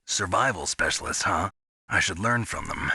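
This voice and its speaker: Low, husky veteran soldier. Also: male voice, lively intonation, normal speed, bright, forceful tone, no room sound, exaggerated voice. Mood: dry interest. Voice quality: gravelly, husky. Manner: terse, dry, serious. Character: gruff, laconic veteran male soldier on covert mission. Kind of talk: video game radio dialogue.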